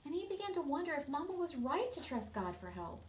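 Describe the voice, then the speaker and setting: A woman reading a storybook aloud and explaining it to children in an expressive, gentle way. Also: high-pitched, fairly quick, slight background noise.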